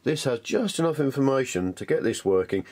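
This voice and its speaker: A man's voice: medium pitch, animated, fairly quick, a little mellow. A man presenting an informal hands-on tutorial, thinking aloud in a chatty, enthusiastic way.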